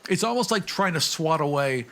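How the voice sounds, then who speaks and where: A man's voice: fairly high pitch, animated, moderate pace, fairly bright tone, a little hiss or hum. A man playing a tabletop game, casually narrating his character's actions in vivid first-person detail. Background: music behind the voice.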